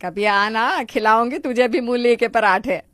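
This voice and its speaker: A woman's voice: a little high, a little slow, a bit bright, no echo, clean studio sound. A woman voicing a stubborn, greedy older mother-in-law in a moral story, bossy and exclamatory with a whining edge. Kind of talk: Hindi moral story voice acting.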